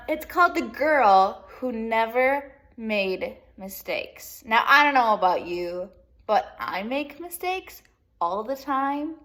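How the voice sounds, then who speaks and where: A woman teacher reading a children's picture book aloud in an expressive way, with casual asides to young pupils. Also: medium pitch. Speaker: second grade teacher.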